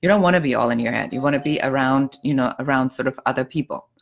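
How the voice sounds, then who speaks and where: A woman's voice: a bass voice, quick pace, vocal fry. A middle-aged woman from Germany with a German accent, giving blunt, outspoken business advice in a casual talk with dry sarcasm. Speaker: business coach.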